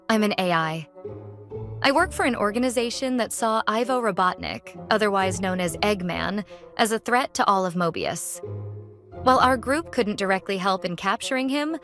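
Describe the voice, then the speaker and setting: A woman voicing a calm, friendly female character in a cartoon dub, composed and articulate. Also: mid-range voice, normal speed, faint music behind. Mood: calm, explaining.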